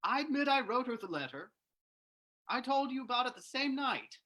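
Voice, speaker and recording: A woman's voice: high-pitched, very animated, moderate pace, fairly bright tone, exaggerated voice. A woman playing an earnest, defiant young male army private in an old-time radio play reading.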